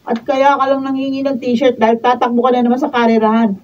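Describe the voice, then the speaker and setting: An actress playing a lazy, brash pregnant young wife who nags and quarrels in a Filipino radio drama. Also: female voice, a little high, flat delivery, quick pace, darkish tone, natural voice. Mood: defiant, scolding. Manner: brash, nagging, mouthy. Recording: distant, dull lo-fi radio studio capture.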